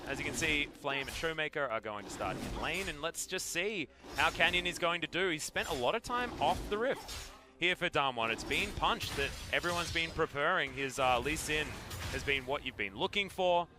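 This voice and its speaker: Male esports caster, voice a touch high, conversational play-by-play, clear music bed underneath. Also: lively intonation, moderate pace. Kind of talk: live esports commentary with bantering chat.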